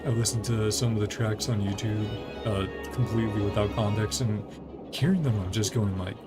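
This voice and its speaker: A man giving casual, rambling gameplay commentary as a streamer, thinking aloud as he plays, with a creaky voice. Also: lowish voice. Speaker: video game streamer. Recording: game music behind the voice. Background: video game music.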